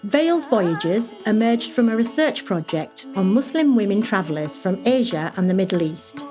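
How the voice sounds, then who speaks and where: A woman's voice: medium pitch, big pitch swings, normal speed, dark tone. Woman reading credits over faint sitar music. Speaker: credits announcer. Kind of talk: scripted credits narration.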